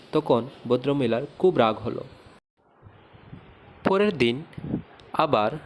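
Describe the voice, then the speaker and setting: Slow-paced male cartoon storyteller. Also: medium pitch, slight background noise. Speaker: storyteller, narrator. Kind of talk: scripted moral-tale narration for a cartoon.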